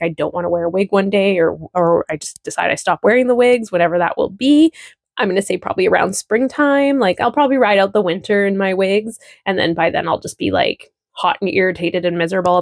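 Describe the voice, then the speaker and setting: Woman, casual vlog update, candid and chatty, noticeable vocal fry, dry close sound. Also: mid-range voice, no room sound. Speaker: vlogger. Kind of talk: casual personal update to camera.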